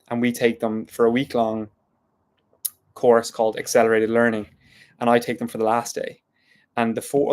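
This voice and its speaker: Low, even-toned Irish man musing. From Ireland. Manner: thoughtful, meandering, self-reflective. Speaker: vlogger. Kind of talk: unscripted reflective monologue.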